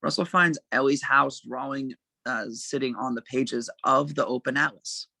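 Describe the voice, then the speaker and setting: A man reads scene headings and action lines as narrator of an amateur table read. His mid-pitched voice is bright with a creaky edge, recorded fairly dry. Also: fairly even intonation, moderate pace, no echo. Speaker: narrator reading stage directions.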